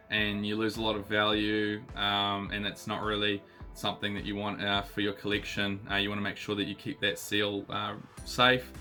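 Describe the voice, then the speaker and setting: A New Zealand man in his thirties talks in a lowish but bright, forward voice, still husky from a recent illness. He rambles casually with plenty of ums and uhs, and soft guitar music plays faintly behind him. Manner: chatty, lots of ums and uhs. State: husky, recovering voice. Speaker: card collecting channel host. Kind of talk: casual unboxing chat.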